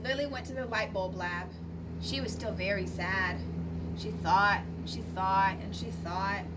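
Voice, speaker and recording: Female read-aloud teacher: higher register, deliberate storybook delivery for young children; noisy, slightly distant recording. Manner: expressive, deliberate phrasing with asides to young listeners.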